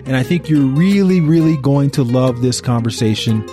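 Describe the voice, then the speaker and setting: A man's voice: mid-range voice, animated, moderate pace, mellow, faint music behind. A man hosting a podcast, introducing his guest with enthusiasm and then interviewing him. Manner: enthusiastic, upbeat. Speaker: podcast host.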